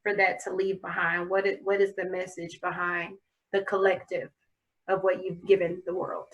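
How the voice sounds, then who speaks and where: A woman's voice: fairly low pitch, flat delivery, moderate pace, fairly bright tone, lo-fi sound. A woman hosting a casual podcast interview over a video call, encouraging and chatty, sometimes fumbling her phrasing. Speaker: podcast host.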